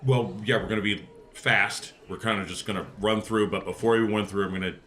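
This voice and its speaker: Male tabletop player, fairly low pitch but bright, forceful tone, casual chat. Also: fairly even intonation, brisk, slight background noise.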